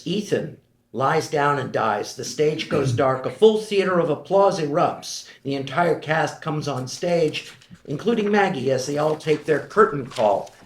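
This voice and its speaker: A man's voice: fairly high pitch, echoey room. A man reading the stage directions of a screenplay at a table read.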